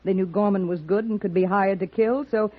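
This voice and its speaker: A disillusioned, worldly woman played by an actress in an old radio western. Her mid-pitched voice is husky and her delivery sardonic and dramatic. The vintage recording is slightly muffled. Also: steady tone, a little fast, lightly acted. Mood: bitter, confiding. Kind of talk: 1950s radio western acting.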